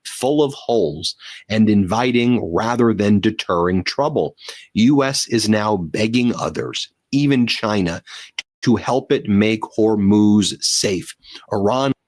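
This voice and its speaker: Deep-voiced man doing blunt news commentary. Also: takes it slowly, a little mellow. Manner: emphatic, blunt.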